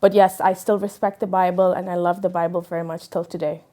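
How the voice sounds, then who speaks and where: A woman's voice: lowish voice, flat delivery, quick pace, dark tone. A young woman raised in Dubai, telling her personal story earnestly and reflectively, with frequent fillers and restarts. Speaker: interviewee. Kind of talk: personal testimony.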